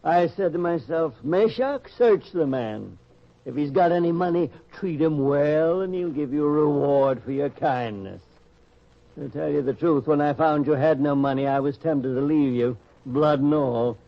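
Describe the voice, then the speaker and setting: A world-weary, lowly scavenger played by a man in an old-time radio play. He has a fairly high voice and a blunt, wry, theatrical delivery, heard on a slightly muffled recording. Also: a little slow, vocal fry. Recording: dull lo-fi radio-style recording.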